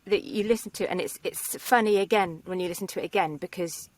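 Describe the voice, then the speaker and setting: Woman in her 30s, lively casual interview chat, close dry sound no room. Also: mid-range voice, fast, no room sound. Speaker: voice actor, cast member interviewed. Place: small foam-lined vocal booth.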